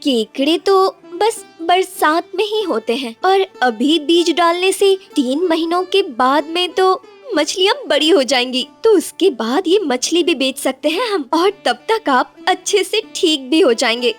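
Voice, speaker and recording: A woman voicing a resourceful young village wife in an animated story, coaxing and gently persuasive. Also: very high voice, unhurried, faint music behind. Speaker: wife character. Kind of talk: animated cartoon dubbing.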